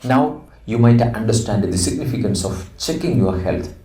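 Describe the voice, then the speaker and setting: A middle-aged man with an Indian accent reads a school project script aloud as an English teacher, explaining it deliberately in short, didactic phrases. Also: on the low side, animated, dark tone. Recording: roomy echo, distant mic, faint noise.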